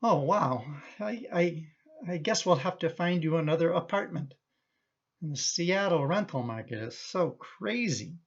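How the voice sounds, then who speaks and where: A woman's voice: lowish voice, animated, a little slow, highs cut off. A woman playing an exasperated, protective middle-aged son in a radio play, indignant and emphatic in manner. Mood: flustered.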